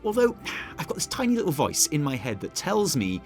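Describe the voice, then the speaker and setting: A man's voice: on the high side, wildly swooping pitch, fairly quick, soft background music. A man co-hosting a scripted gaming news show, wry and playful, questioning himself as he goes. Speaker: co-host.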